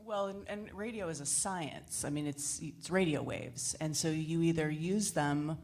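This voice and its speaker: A woman's voice: sits low, measured pace, a little mellow. An American woman broadcaster on a discussion panel, plain-spoken and matter-of-fact. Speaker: radio broadcaster, panelist, ham radio operator.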